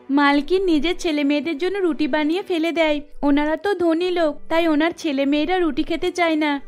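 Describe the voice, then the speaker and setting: A woman voicing a poor, gentle and worried mother in a cartoon, soothing and apologetic. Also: very high voice. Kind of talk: cartoon dialogue.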